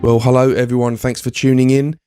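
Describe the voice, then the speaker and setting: A man hosting a podcast, hoarse from a cold, talking casually with many ums and restarts. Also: mid-range voice, flat delivery, brisk, over music. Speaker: podcast host.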